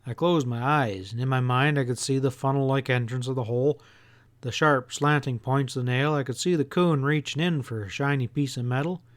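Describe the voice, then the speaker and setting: Male audiobook reader, mid-range pitch, folksy, expressive first-person storytelling. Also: fairly quick.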